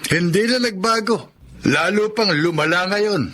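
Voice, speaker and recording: Sympathetic, exclaiming neighbour in Filipino radio drama, fairly high bright voice, Filipino accent. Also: male voice, unhurried, full character voice. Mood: disapproving. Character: kindly, sympathetic, gossipy male neighbour.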